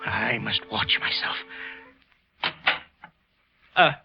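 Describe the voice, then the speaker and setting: Jittery, guilty radio-drama clerk. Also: male voice, on the low side, drawn-out words, creaky, full character voice. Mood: fearful, muttering. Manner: stammering, nervous, hesitant. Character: jittery, guilty male office clerk, murderer narrating his unraveling. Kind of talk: 1940s radio suspense acting and narration. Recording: dull vintage radio recording with music.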